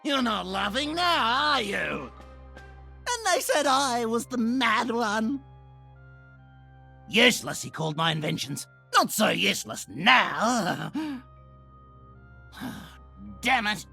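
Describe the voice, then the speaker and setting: Raspy, swooping mad scientist. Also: male voice, high-pitched, very animated, slow pace, long pauses, sharp tone, soft background music, full character voice. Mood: bitter, gloating. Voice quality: raspy, creaky. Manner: theatrical, unhinged. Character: manic, vengeful male mad scientist and inventor. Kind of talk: video game character voice lines.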